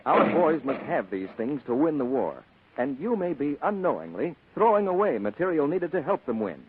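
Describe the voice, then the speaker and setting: An American man announcing on 1940s wartime radio, reading a script earnestly and deliberately. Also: a little high, big pitch swings, a little hiss or hum. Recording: narrow-band old radio recording.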